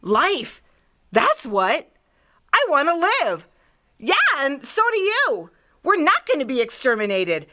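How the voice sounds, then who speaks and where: A woman's voice: high voice, wildly swooping pitch, slow, noisy background, exaggerated voice. A woman playing a fanatical, scheming artillery woman in an audio drama about an invasion, talking in a cocky, folksy, ranting way. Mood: defiant. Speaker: acting class student.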